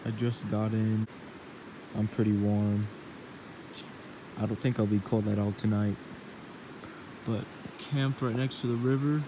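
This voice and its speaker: A young man vlogging a solo camping trip in a laid-back, deadpan way, talking to a handheld action camera from his hammock and his truck. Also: on the low side, a little slow, long pauses. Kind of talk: casual solo camping vlog. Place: outdoors in a hammock, then inside a truck cab. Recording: action camera with outdoor noise.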